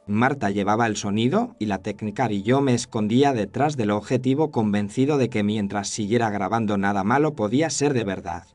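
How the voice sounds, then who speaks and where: A man performs a haunted cameraman telling his own horror tale. His voice sits fairly low, and he narrates in a solemn, measured way heavy with regret. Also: a little mellow, lightly acted. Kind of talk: first-person scripted horror narration.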